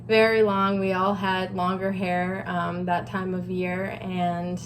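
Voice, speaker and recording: A woman's voice: on the low side, little pitch movement, slow, a little hiss or hum. A young adult woman hosting a vlog, telling a personal story in a casual chat with her partner. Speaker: vlog host.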